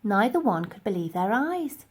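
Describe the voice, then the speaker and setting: A woman reading a children's story aloud in an expressive narrating style. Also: medium pitch, normal speed, slightly rough. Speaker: storybook reader.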